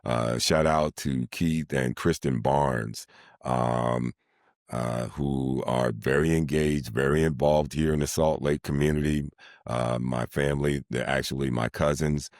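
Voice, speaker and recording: Very deep-voiced middle-aged man. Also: fairly even intonation, darkish tone, dry recording, studio quality. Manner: deliberate, wordy, formal phrasing. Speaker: sports arena announcer being interviewed. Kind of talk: measured, formal interview talk.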